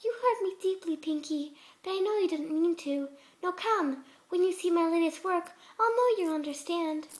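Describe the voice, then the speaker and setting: A woman voicing a sweetly menacing female pegasus pony in a story reading, gentle and eagerly coaxing. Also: very high-pitched, normal speed, few pauses, voice pushed up. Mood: disappointed, coaxing.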